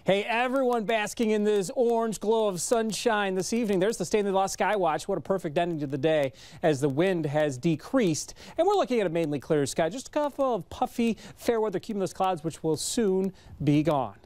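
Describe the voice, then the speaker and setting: An American man presents the weather as a TV meteorologist. His voice is high for a man and the sound is very dry, as he talks through the forecast. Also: expressive, moderate pace, few pauses, a little mellow, dry recording. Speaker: television meteorologist. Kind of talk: ad-libbed weather forecast commentary.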